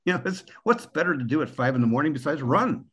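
A man in his sixties from Pennsylvania with an American accent, a guest on a podcast telling relaxed, rambling stories with the odd chuckle. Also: fairly high pitch, fast, slightly rough. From Pennsylvania, USA. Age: 60s. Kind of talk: relaxed conversational storytelling.

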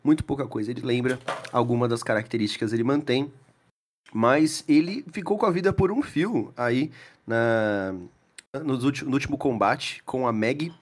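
Man, Brazilian accent, average pitch, informal podcast chat, player introducing a character. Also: moderate pace.

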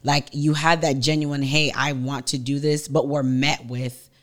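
A man's voice: mid-range voice, flat delivery, measured pace, a bit bright. A man co-hosting a casual talk about faith. He speaks hesitantly and often breaks off to start his sentences over. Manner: hesitant, many fillers and restarts. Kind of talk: casual discussion.

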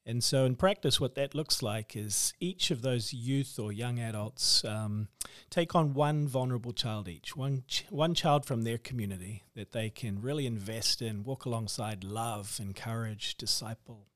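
Kiwi-accented guy on a podcast, earnest and measured, dry close sound. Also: mid-range voice, a bit bright, no room sound. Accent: New Zealand. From New Zealand. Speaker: guest, ministry leader and author. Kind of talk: podcast interview.

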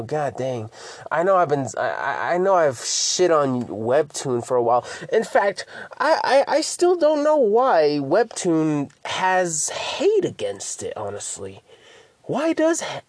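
A man's voice: mid-range voice, no echo. A man hosting his own podcast in casual solo chat, rambling and stammering, swearing freely as he talks. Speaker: podcast host.

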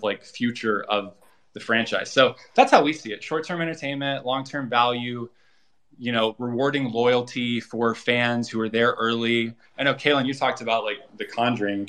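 A man speaking casually as an interview guest, explaining and pitching his project in a conversational way. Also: pushed and bright.

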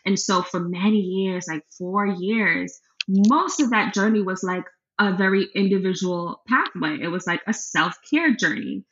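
A woman's voice: mid-range voice, unhurried, hardly any pauses, a bit bright, a little hiss or hum. A woman guest talking earnestly and reflectively in a casual conversation. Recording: dull lo-fi sound.

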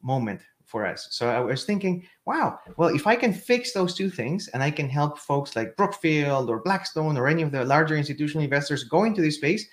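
A man's voice: fairly high pitch, animated, brisk, hardly any pauses. A man with a Chilean accent, a guest in a casual interview, talking with many fillers and repeated words. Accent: Chilean Spanish. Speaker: guest, real estate investor.

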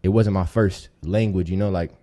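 Deep-voiced young American man, slangy and mellow. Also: steady tone, moderate pace. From Tampa, Florida, United States. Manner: slangy, informal street talk. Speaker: podcast guest, rapper. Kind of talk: casual chat, storytelling.

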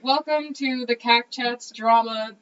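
A young American woman with a fairly high voice chats and banters casually as a co-host. The recording is slightly dull, with clear crowd noise behind her. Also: a little slow. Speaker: podcast co-host. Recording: muffled lo-fi, chatter behind.

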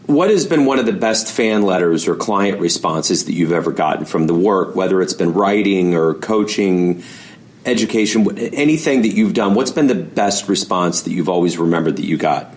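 A man's voice: deep voice, animated, moderate pace. A man hosting an interview who asks long, carefully framed questions. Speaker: interview host.

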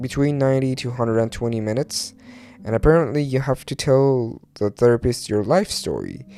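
A man's voice: fairly low pitch, a little mellow. A man from Iran who later moved to Turkey talks alone as a podcast host in a rambling, confessional way, hesitant and self-deprecating, swearing casually.

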